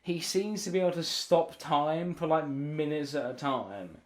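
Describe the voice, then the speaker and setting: A man's voice: fairly high pitch, unhurried. A man chatting casually on a podcast, arguing his point insistently with plenty of swearing. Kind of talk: loud casual podcast banter.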